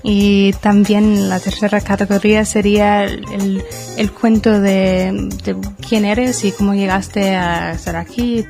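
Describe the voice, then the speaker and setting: A woman speaking in a radio interview, slowly explaining kinds of folk tales and telling an anecdote. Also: mid-range voice, level tone, gravelly. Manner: slow, anecdotal. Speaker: historian interviewee. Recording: radio interview with music behind. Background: music.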